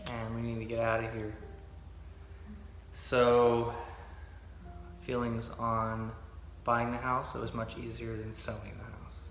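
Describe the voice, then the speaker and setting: A man's voice: medium pitch, completely flat delivery, takes it slowly, pauses often, fairly bright tone. A man talking casually to camera as a vlogger inside an echoey home. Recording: echoey reverberant room with background noise.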